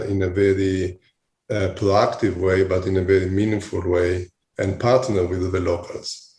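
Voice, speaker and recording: A man's voice: deep voice, a little mellow. A man with an Italian accent answering interview questions conversationally and reflectively, searching for his words as he goes. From Italy. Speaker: podcast guest, hospitality company CEO. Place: remote call, echoey room. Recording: echoey remote call.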